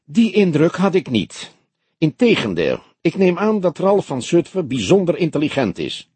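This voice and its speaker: An unruffled older police detective from a crime audiobook, acted out by a male reader. His delivery is laconic and deliberate, with dry sarcasm and lively swings in pitch. The voice is slightly husky and recorded dry. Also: no room sound, exaggerated voice. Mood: calm, firm. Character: grey-haired veteran police detective, unruffled, dryly sarcastic. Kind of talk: Dutch audiobook character dialogue.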